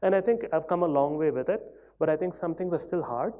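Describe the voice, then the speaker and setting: Mellow-voiced man giving a conference talk. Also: a little high, lively intonation, fast talker, muffled recording. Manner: conversational, hedging with fillers. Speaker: conference speaker. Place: large conference hall at a lectern.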